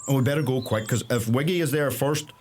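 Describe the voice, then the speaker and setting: A man's voice: mid-range voice, fast, a little hiss or hum, natural voice. A man playing a droll, easily flustered young farmer with a Donegal Irish accent in a naturalistic radio play, birdsong around him. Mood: matter-of-fact. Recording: outdoor ambience with loud birdsong.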